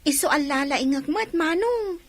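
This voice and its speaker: A high, girlish voice for a cheerful young daughter. She is an eager little sister with an Ilocano accent, in the style of radio comedy drama. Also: animated, normal speed, dry recording.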